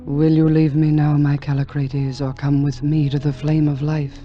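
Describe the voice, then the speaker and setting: A woman's voice: low voice, flat delivery, moderate pace, soft background music, lightly acted. An actress playing an ageless immortal queen, commanding and lovesick, with solemn, formal speech in the style of 1940s radio drama. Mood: tender, commanding. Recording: dull, muffled 1940s radio recording.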